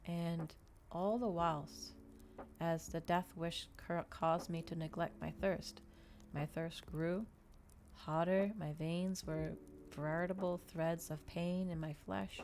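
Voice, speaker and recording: Low-pitched woman reading a story, ASMR style. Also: fairly even intonation, takes it slowly, a little mellow. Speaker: streamer reading aloud. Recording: clear background ambient noise.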